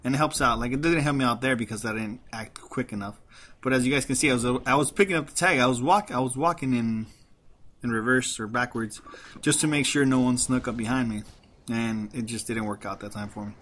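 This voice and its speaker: A man's voice: mid-range voice, fairly quick, fairly bright tone, a little hiss or hum. Rambling man with a slight Mexican accent. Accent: light Mexican Spanish. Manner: rambling, self-deprecating, frequent restarts and laughs. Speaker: gaming commentator. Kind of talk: casual gameplay commentary.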